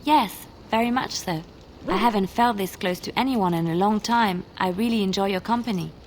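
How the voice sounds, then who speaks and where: A woman's voice: mid-range voice, moderate pace, natural voice. Woman acting sweet chatty young game companion lady, earnest n affectionate, bit of background noise. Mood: earnest, tender. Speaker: video game companion character. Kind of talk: video game dialogue acting.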